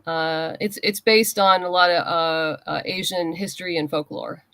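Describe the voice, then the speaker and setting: A woman guest in a casual interview, chatting in a halting way as she thinks aloud. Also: fairly low pitch, steady tone, a bit bright, no echo. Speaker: interview guest, comics writer and creator.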